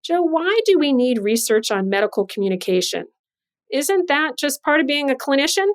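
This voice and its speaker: A woman's voice: sits high, very animated, moderate pace. A woman hosting a podcast interview, introducing guests and putting questions to them. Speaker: podcast host and journal editor.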